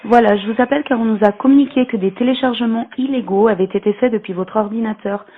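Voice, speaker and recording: A woman's voice: fairly high pitch, quick pace, close to an everyday voice. Woman as an officious legal agent, tinny phone line. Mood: officious, polite. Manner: formal, courteous, businesslike. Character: polite, officious female law-firm anti-piracy agent. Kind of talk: scripted prank phone call.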